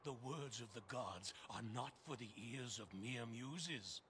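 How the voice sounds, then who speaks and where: A man's voice: mid-range voice, unhurried, fairly bright tone, a little hiss or hum, close to an everyday voice. An actor playing a deranged, grandiose tycoon who believes he is Zeus, speaking in bombastic, archaic theatrical language in a cartoon. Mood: imperious. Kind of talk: animated superhero series acting.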